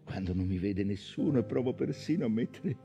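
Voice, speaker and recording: An actor playing a timid, sorrowful sailor in a hushed, halting, confiding theatrical monologue. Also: male voice, on the low side, animated, gravelly. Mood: wistful fear. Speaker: stage actor. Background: music.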